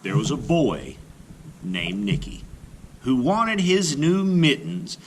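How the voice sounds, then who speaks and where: A man reading a children's picture book aloud as a storyteller, with theatrical, drawn-out emphasis on words. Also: medium pitch, big pitch swings, a little hiss or hum. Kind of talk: expressive children's read-aloud narration.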